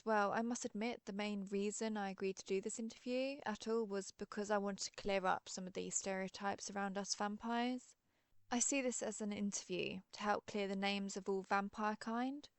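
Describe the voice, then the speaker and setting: Girl doing a friendly old vampire lady for audio roleplay, chatty n theatrical, bit of vocal fry, super dry close sound. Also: medium pitch, dry recording, close to an everyday voice. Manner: playfully grand, chatty, self-amused. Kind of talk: scripted audio roleplay monologue.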